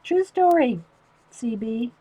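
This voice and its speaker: A woman with a loud voice, chatting casually to livestream viewers while she shows a coloring technique. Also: on the high side, lively intonation, slow pace, lo-fi sound, a little hiss or hum. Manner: rambling, talks to chat viewers by name.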